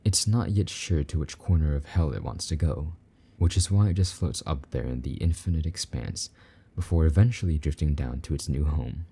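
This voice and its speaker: A man playing a possessive but tender, lonely demon lover in an intimate romantic audio roleplay, speaking softly and coaxingly in a husky voice. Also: full character voice. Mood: soft, reassuring. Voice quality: very deep, dark, husky. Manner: soft, slow-burning, gently coaxing endearments.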